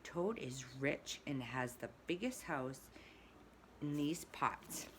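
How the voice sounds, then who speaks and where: A woman voicing a sensible male water rat in a storybook reading, dry and measured. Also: low voice, expressive, slight background noise. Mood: friendly.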